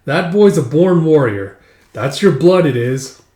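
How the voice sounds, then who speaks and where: A man's voice: a little high, lively intonation, a little slow, dark tone. A man voicing hearty, boastful Viking villagers who are proud of battle, in a jovial, bragging manner. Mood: proud amusement. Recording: echoey room.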